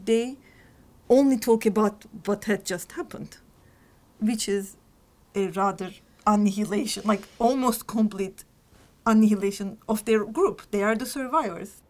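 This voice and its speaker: A middle-aged woman historian from Turkey, speaking thoughtfully in an interview and often pausing to find her words. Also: on the high side, darkish tone. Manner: thoughtful, searching for words, frequent restarts. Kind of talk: reflective interview answers.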